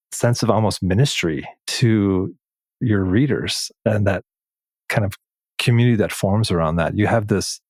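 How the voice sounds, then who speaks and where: A man interviewing in a reflective, measured way, halting and pausing between short phrases. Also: low voice, no echo. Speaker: podcast host, interviewer. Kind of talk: reflective interview conversation, some reading aloud.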